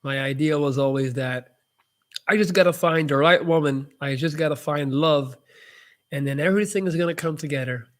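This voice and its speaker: Young man, dutch native speaker, live q&a host, casual rambling, restarts, slightly raised pitch. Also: moderate pace. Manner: reads chat messages aloud and answers loosely, with frequent restarts. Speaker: livestream host answering viewer questions.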